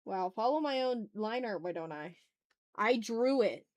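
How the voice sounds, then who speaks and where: A woman's voice: a little high, animated, unhurried, a little mellow, highs cut off. Casual woman art streamer, chatting and muttering. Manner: self-talking, repeats short phrases, mild exclamations. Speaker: streamer, artist hosting a live art stream. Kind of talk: casual livestream chat, muttering to self while drawing.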